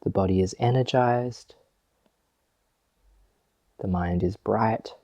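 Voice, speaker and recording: A man leading a guided meditation as a teacher, speaking gently and in a measured way with long pauses. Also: on the low side, dry recording, muffled recording.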